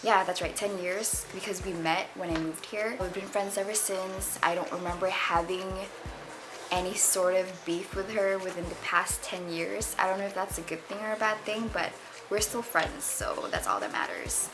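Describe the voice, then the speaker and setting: A woman's voice: medium pitch, normal speed. A twenty-year-old woman from the Philippines, chatting casually and candidly as a vlogger introducing herself. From Philippines, moved to the United States at eleven. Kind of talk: casual get-to-know-me chat. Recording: music playing behind the voice. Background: music.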